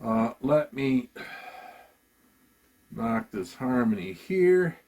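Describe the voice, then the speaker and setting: Slow, unhurried man demoing gear. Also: mid-range voice, lively intonation, creaky, darkish tone. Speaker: musician demonstrating gear. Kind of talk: casual product demo talk.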